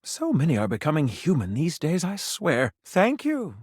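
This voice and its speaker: A male food critic from a video game, grand and snobbish, with a fairly high voice that swoops dramatically. His diction is refined and pompous, every phrase theatrical, recorded dry and close. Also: huge pitch swings, normal speed, a little mellow, slightly rough, no echo, exaggerated voice. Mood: gracious, excited. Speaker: restaurant critic.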